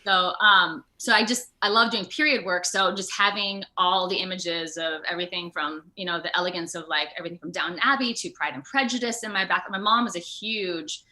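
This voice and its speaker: A woman actor talking casually as an interview guest, animated and quick to act out remarks. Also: fairly low pitch. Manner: animated, acts out quoted remarks.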